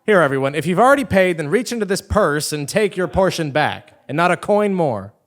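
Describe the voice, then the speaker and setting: A man's voice: high-pitched, sing-song, a little fast. A man playing an exasperated, defiant commoner who leads a scheme, emphatic and incredulous, in a comic stage audio play. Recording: live theatre stage recording.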